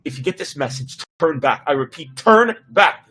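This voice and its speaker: A man's voice: on the high side, animated, normal speed, sharp tone, husky, heavily acted. A man acting an earnest, urgent scientist giving a dramatic warning in a sci-fi story reading. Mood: grave, urgent. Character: urgent, grave scientist sending a doomsday warning.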